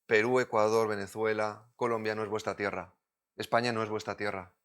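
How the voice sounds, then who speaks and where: A man from Madrid with a Madrid Spanish accent, preaching a sermon, moving between loud urgent points and quiet, close asides. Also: fairly low pitch, flat delivery, brisk. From Spain.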